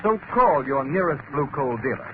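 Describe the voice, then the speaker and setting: A man's voice: mid-range voice, sing-song, moderate pace. A man reading a scripted commercial as a 1930s radio announcer, declamatory and persuasive. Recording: narrow-band 1930s radio recording, faint noise.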